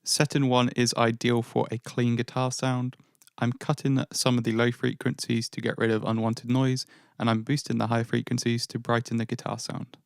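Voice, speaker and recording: A man's voice: mid-range voice, flat delivery, normal speed, gravelly, clean tone. A man explaining guitar gear in a casual voice-over, with a slightly nasal, thin sound and dry joking asides. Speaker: guitar pedal reviewer.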